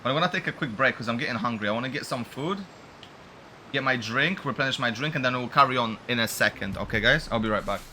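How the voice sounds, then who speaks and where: A man's voice: medium pitch, fast, sharp tone, a little hiss or hum. A man streaming a game, chatting casually and informally with slangy live commentary. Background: game music.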